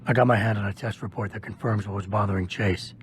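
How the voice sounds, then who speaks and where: An actor playing a stoic security agent in a video game, speaking in a restrained, terse and deliberate way. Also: male voice, fairly low pitch, steady tone, slight background noise, lightly acted. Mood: somber, restrained. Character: stoic gravelly male security agent investigating a cover-up. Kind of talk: video game cutscene acting.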